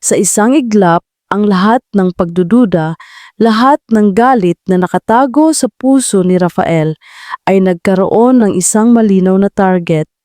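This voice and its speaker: A woman's voice: medium pitch, unhurried, mellow, no room sound, clean studio sound. A woman storyteller reading a scripted audio drama in a dramatic narrating style.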